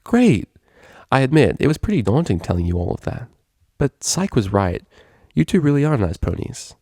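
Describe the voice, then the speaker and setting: A man's voice: mid-range voice, big pitch swings, moderate pace, darkish tone, dry recording, studio quality, voice pushed up. A man voicing a friendly, earnest young female pony student in a story, eager and confiding. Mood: happy.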